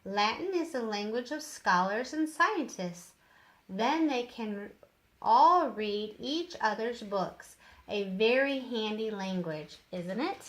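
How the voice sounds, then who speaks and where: A woman's voice: on the high side, lively intonation, slow. A woman voicing a kindly, enthusiastic older male doctor in a children's storybook, exclamatory and encouraging. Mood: genial. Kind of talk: children's storybook read-aloud.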